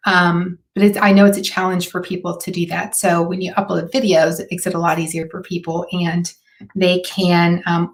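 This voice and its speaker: Woman, host, hoarse raspy voice, lowish pitch, chatty, live stream talk. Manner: chatty, with frequent fillers.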